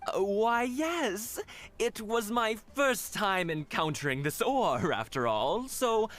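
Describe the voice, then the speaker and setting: A man's voice: sits high, big pitch swings, takes it slowly, a bit bright, heavily acted. A man voicing a nervous, obsequious shopkeeper in an anime-style video game, given to fawning and stammering. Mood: cautious, explaining. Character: nervous, obsequious shopkeeper running a shady jade-betting stall.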